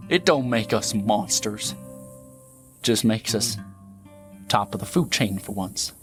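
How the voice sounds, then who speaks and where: A man's voice: fairly low pitch, expressive, takes it slowly, a bit bright, soft background music. A man playing a greedy, domineering bandit with a drawl in an audio drama. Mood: cold, determined. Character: greedy, ruthless, domineering male bandit, older brother type. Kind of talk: audio drama acting, folksy Old West dialect.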